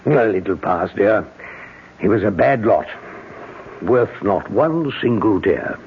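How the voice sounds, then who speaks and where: Avuncular older gentleman, wry, reassuring, lowish expressive voice, vintage radio drama, tinny sound. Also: takes it slowly, a little hiss or hum, exaggerated voice. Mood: soothing. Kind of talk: 1970s radio drama acting. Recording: tinny 1970s radio broadcast.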